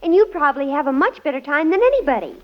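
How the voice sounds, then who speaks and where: A woman's voice: high voice, very animated, quick pace. An American woman playing an eager, huffy teenage daughter who pleads earnestly in an old-time radio family comedy. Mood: pleading. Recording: dull old radio recording.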